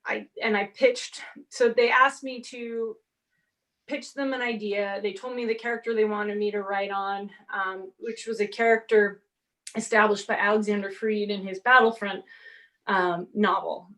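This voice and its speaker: A woman in her forties whose first language is Portuguese, telling an animated story on a convention panel and acting out the exchanges she quotes. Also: mid-range voice, moderate pace, poor audio. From half Brazilian, grew up speaking Portuguese. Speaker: panelist author. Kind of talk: casual panel talk.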